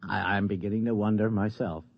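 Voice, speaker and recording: A man's voice: on the low side, steady tone, brisk, gravelly. Flustered, stammering ordinary man. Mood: dismayed. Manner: stammering, repeats words. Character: flustered, anxious ordinary man, reluctant robot owner. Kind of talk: mid-century radio drama acting. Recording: dull old radio recording.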